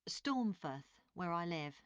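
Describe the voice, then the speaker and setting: A woman's voice: fairly low pitch, lively intonation, takes it slowly, clean tone, dry recording. A woman playing an obliging, matter-of-fact train passenger answering questions in a scripted listening-test dialogue. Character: woman journalist, obliging but mildly critical train passenger.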